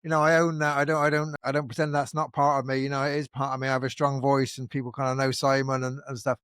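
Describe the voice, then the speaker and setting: Fast-talking man hosting a podcast. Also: mid-range voice, clear, resonant tone, no room sound, studio quality. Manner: animated, with frequent restarts and fillers. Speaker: podcast host. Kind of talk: casual conversational interview.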